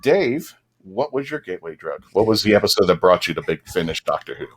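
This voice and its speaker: A man's voice: sits low, sing-song, a little fast. Emphatic man hosting a fan panel. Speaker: panel host. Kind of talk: casual panel moderation.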